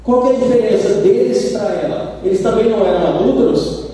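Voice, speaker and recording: Brazilian male preacher, high mellow voice, echoey hall, heard from afar. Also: a little fast, recorded from a distance. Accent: Brazilian Portuguese. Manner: animated storytelling, acts out quoted voices. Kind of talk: sermon. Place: church sanctuary. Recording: roomy church hall from afar.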